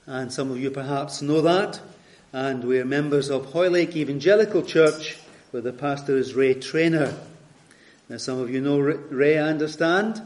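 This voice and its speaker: A retired Scottish pastor in his later years, guest preaching, reading scripture and leading prayer in a measured, earnest way. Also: mid-range voice, a little mellow. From Scotland. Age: older.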